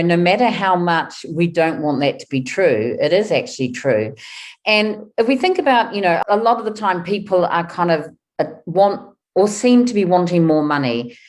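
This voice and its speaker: A woman in her fifties with a New Zealand accent, a wealth coach answering interview questions in a chatty way. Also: lowish voice. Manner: chatty, thinks aloud with fillers.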